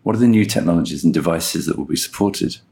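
A man's voice: sits low, fast, creaky, warm tone. A man hosting a podcast interview, asking long framing questions as a research director. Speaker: guest host, research director.

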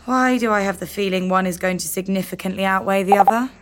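A woman's voice: mid-range voice, fast, heavy background noise, close to an everyday voice. A woman playing a stern, weary, commanding crew leader in a sci-fi audio drama. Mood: appalled. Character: exasperated, stern female crew leader in space. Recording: long hall reverb.

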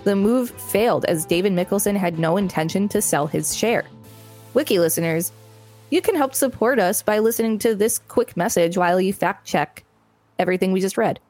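A woman's voice: mid-range voice, normal speed, a little mellow, faint music behind. A woman co-hosting a podcast, reading an article aloud and adding informal, conversational comments. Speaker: podcast co-host.

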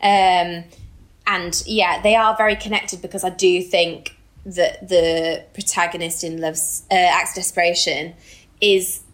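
A woman's voice: fairly low pitch, steady tone, a little slow. A woman in her thirties talking candidly and emphatically about books as a podcast co-host, in a casual, chatty way. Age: 30s. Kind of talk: casual book discussion.

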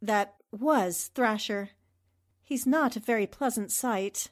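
A woman playing a sharp, self-assured newspaper reporter, composed and observant, in 1940s radio drama style. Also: fairly high pitch, slow. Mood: cool, observant. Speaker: reporter investigating a murder.

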